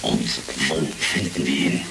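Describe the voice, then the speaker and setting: An actor playing a stern secret service chief in a retro sci-fi radio play, measured and authoritative. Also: male voice, rumbling low, animated, vocal fry, exaggerated voice. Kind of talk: retro science fiction radio play acting. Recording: distant, loud music, vintage crackle.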